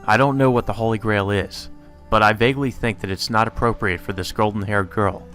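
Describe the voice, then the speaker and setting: A man's voice: lowish voice, steady tone, brisk, a bit bright, faint music behind. A man doing a let's play, reading visual novel text aloud and commenting casually. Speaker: let's player.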